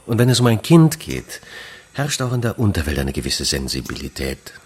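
Wry, pragmatic police commissioner for radio crime drama: very deep, husky, officially measured. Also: male voice, very animated, heavily acted. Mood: confidential. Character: Italian male police commissioner, dry, worldly, pragmatic. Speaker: police investigator.

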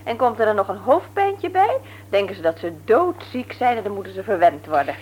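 An actress in a Dutch radio play, playing a brisk, teasing, no-nonsense nurse married to a man she likes to mock. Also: mid-range voice, big pitch swings, darkish tone, lightly acted. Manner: brisk, mocking, matter-of-fact. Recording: noisy old radio recording with hum.

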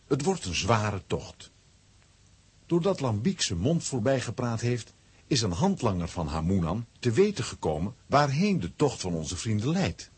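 A man narrating a children's adventure audio play as an expressive storyteller, with a rough, husky voice. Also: medium pitch, a little slow, exaggerated voice. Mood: storytelling.

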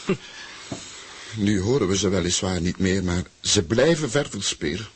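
A man's voice: low voice, expressive, normal speed, noisy background. A man playing an obsequious, flustered government aide in a satirical radio play, stammering deferentially as he fussily reports to his superior. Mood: worried.

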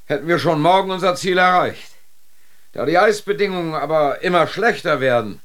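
A man's voice: on the high side, fairly bright tone. A man playing a resolute expedition leader addressing his crew in a firm, projected radio drama delivery. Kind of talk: German radio drama acting.

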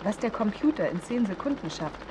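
An actress playing a brisk, efficient woman who heads a records office in a 1970s German radio drama. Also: mid-range voice, animated, background noise, natural voice.

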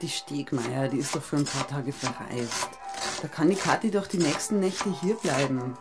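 A woman's voice: low-pitched, normal speed, loud music behind the voice. An actress playing a sociable, helpful young Munich woman in German radio drama dialogue. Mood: persuading, informal.

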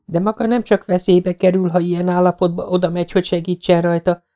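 A man's voice: sits high, level tone, dark tone, lo-fi sound, voice pushed up. A man reading an audiobook, voicing a worried, fiery young woman who pleads with rising emotion. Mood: upset. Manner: pleading, rising to near-hysterical.

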